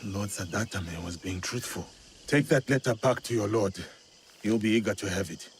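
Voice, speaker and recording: An actor playing a thoughtful, honorable samurai in a video game, with a formal, understated way of talking. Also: male voice, fairly low pitch, normal speed, slight background noise, lightly acted. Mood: stern. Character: honorable, imposing male samurai, thoughtful and reserved. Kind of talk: video game cutscene acting.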